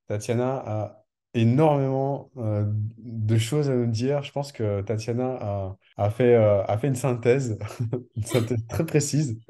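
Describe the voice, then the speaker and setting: A man's voice: medium pitch, measured pace, warm tone. A man hosting an interview as a business coach, speaking with frequent hesitations and restarts. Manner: hesitant, many fillers and restarts.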